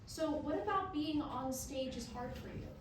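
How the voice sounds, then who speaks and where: A woman playing a patient, probing therapist in staged reading dialogue, with a measured, questioning manner. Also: high voice, expressive. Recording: echoey room from far off, background noise.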